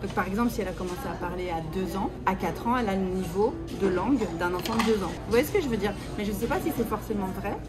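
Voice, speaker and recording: A woman's voice: medium pitch, fast, few pauses. A woman vlogger and mother chatting casually to the camera, rambling with many fillers and asides. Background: background music.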